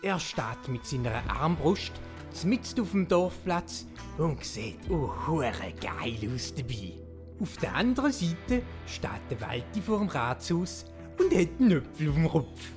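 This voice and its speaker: A narrator telling a comic audio play in a cheeky, lively way with a Swiss German accent. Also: medium pitch, unhurried, over music. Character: cheeky, lively storyteller of a mock legend.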